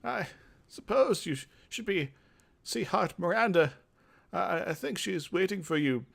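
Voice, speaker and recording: A fussy male mage played by a man reading a game aloud, pitched well up high. He sounds airy and patronizing, a theatrical fantasy craftmaster who talks down to newcomers. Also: expressive, a little slow, gravelly, fairly bright tone, full character voice.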